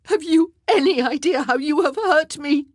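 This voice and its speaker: A woman's voice: normal speed, no echo, close to an everyday voice. A woman voicing an anguished young queen who screams and sobs in jealous outbursts, in a dry, close recording. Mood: distraught. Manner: sobbing, high, screaming outbursts.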